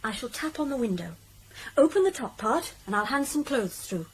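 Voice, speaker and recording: Outspoken british probation officer woman, radio drama, brisk n direct, highish voice w loads of movement. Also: big pitch swings, a little hiss or hum, poor audio. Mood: brisk, resolute.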